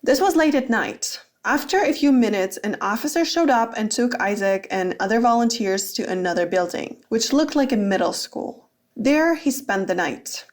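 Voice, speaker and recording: A woman's voice: on the high side, expressive, normal speed. A woman reading scripted narration as the narrator of a radio documentary.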